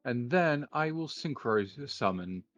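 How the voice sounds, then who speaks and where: A man's voice: medium pitch, lively intonation, unhurried, no room sound, dull audio, soft background music. Musing, mock-formal man in a duel roleplay. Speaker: player in a card duel roleplay. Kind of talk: improvised anime card-duel roleplay, calling out moves.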